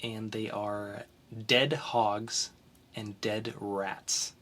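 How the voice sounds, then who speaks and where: A young man co-hosting a podcast, chatting casually through the news with fillers and sudden quick bursts. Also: on the low side, flat delivery, a bit bright. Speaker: podcast co-host.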